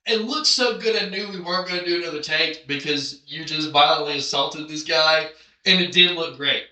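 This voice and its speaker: A man hosting a podcast and interviewing a guest, telling stories in an animated way and jumping up in pitch as he acts out moments. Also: normal speed, distant mic. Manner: animated storyteller, jumps up in pitch when acting out moments. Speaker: podcast host and film director. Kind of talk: casual interview chat. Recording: echoey room, a bit off mic.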